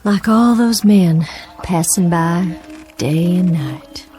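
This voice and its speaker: An actress playing a genteel, frail, wistful war widow with a gracious, reflective manner in a radio drama. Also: female voice, lowish voice, slow, warm tone. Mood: sorrowful, remembering.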